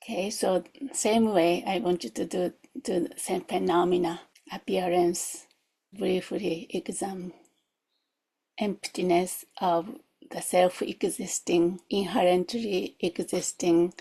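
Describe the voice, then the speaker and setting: A woman's voice: fairly low pitch, steady tone, slow, vocal fry, mellow, slightly rough, low-quality recording. A woman guides a meditation as a teacher, reflective and questioning, in short spaced phrases. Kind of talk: guided meditation, gentle instruction.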